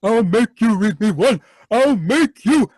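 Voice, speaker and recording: A high, slow-spoken asylum patient played by a man, childish and whining one moment and threatening the next. A radio drama character with a dry, close sound and no room echo. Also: expressive, a little mellow. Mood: menacing. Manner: whiny, pleading then menacing. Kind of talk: live radio drama reading over video call.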